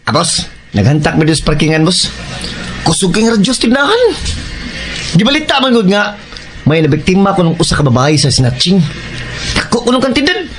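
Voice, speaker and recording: An actor with a Cebuano accent playing a cheeky young street thief, boastful and teasing, in a radio drama. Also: male voice, high-pitched, very animated, moderate pace, long pauses. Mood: boastful, excited. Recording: radio drama with music underneath.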